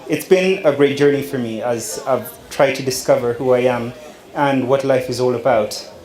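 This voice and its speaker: A man's voice: mid-range voice, darkish tone. Young man telling his story, echoey room. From Uganda. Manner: unhurried, with many fillers and restarts. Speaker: speaker giving a talk. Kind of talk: personal story talk.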